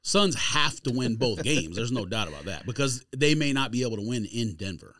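A man's voice: medium pitch, animated, brisk, a bit bright, no echo, studio quality. A man in a casual sports podcast debate, argumentative and slangy as he contradicts and argues his points.

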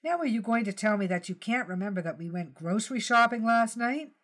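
A woman's voice: on the high side, animated, fairly quick, fairly bright tone. A woman voicing a guarded, dryly teasing retired male judge and husband in an audiobook story, terse and questioning. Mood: mock impatience. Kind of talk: audiobook character dialogue.

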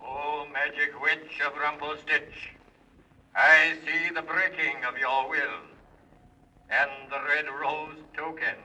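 A magic mirror spirit intones its rhymed prophecies slowly and solemnly. The voice is very sharp and a little high, set back from the mic in an old 1930s radio recording. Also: male voice, fairly even intonation, heavily acted. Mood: solemn, foretelling. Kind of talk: 1930s children's radio serial acting. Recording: distant-sounding vintage 1930s radio recording.

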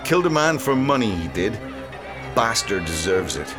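A man playing a gruff, hard working-class hangman and father in an audio drama, snapping out blunt, coarse lines. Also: on the low side, big pitch swings, normal speed. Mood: vengeful. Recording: loud music under the voice.

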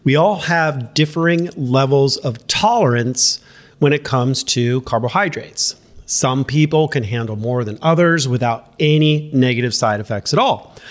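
A man of ordinary pitch talks through a solo podcast in a relaxed, conversational way. His voice is nasal and stuffed up from a head cold. He speaks straight to the listener and keeps checking in as he goes. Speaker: podcast host and running coach. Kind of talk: casual solo podcast talk.

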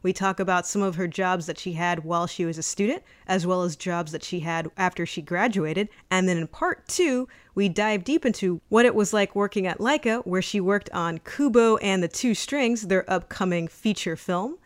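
A woman's voice: on the low side, normal speed, few pauses. Upbeat lady hosting a podcast, friendly intro then easy chat. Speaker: podcast host.

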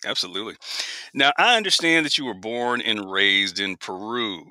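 Low, bright-voiced male podcast host. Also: expressive, moderate pace, no echo. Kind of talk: podcast interview.

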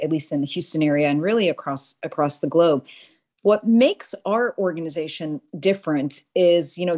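A woman in her forties from Boston, a guest on an interview podcast, talking earnestly and with enthusiasm in long run-on stretches and quick restarts. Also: low-pitched, a little mellow. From Boston, USA. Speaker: guest, nonprofit crime-prevention leader.

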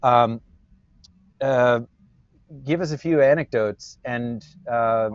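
A man hosting an interview series, talking loudly in a joking, rambling way over a video call. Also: medium pitch, slow, smooth, no echo. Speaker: host. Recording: dry, muffled audio.

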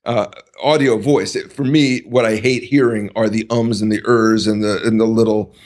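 A man speaking as a podcast guest, talking in a deliberate, halting way with many pauses and restarts. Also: lowish voice, fairly even intonation, a little mellow. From United States. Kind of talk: casual conversational interview.

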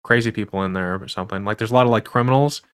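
Fast-talking man hosting a podcast. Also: on the low side, fairly bright tone, clear, resonant tone. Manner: rambling, filler-heavy questions with many restarts. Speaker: podcast host. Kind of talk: casual interview chat.

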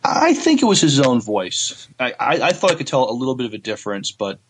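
A man co-hosting a podcast, reading news and discussing it casually in a hesitant, halting way. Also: medium pitch, huge pitch swings, a little hiss or hum. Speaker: podcast co-host.

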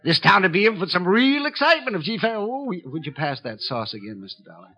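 An actor playing a chatty, jovial small-town gossip in a 1950s radio drama, with a fussy, rambling manner. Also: male voice, sits high, sing-song, quick pace, very noisy. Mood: chatty, amused. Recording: dull, lo-fi 1950s radio recording.

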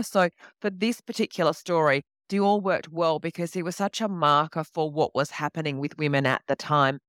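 A woman's voice: low voice, no echo, clean studio sound. A woman novelist answering interview questions in a reflective, explanatory, conversational way.

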